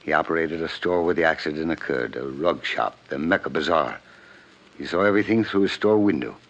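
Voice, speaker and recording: A very deep-voiced aristocratic older gentleman. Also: expressive, heavily acted. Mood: remorseful. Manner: formal, measured diction. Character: guilt-ridden aristocratic wealthy older father, stiff and formal. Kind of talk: 1950s radio drama acting. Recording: muffled vintage radio recording.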